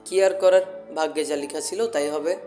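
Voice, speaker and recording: A man's voice: on the high side, fairly even intonation, moderate pace, dark tone, soft background music, close to an everyday voice. A man playing an anxious young captive who talks in a worried, questioning way in an audio story. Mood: fearful, sad. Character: anxious young male captive fearing death.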